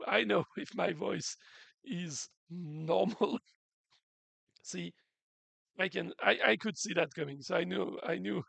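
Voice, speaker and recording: A man's voice: a little high, gravelly, fairly bright tone, slightly rough, no echo. A man hosting a game's community stream, explaining updates in an earnest, casual way with many restarts; his throat is a bit sore after the flu. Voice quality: unusual voice, in his words.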